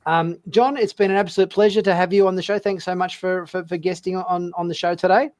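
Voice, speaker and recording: A man hosting a casual live show, polite and somewhat halting in the way he talks. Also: high voice, fairly even intonation, a little mellow. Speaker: host. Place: remote video call.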